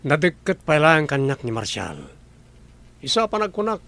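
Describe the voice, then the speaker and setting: A man's voice: fairly high pitch, sing-song, moderate pace, creaky, fairly bright tone, heavily acted. A man playing a scheming, jealous and money-hungry boss in an Ilocano radio drama.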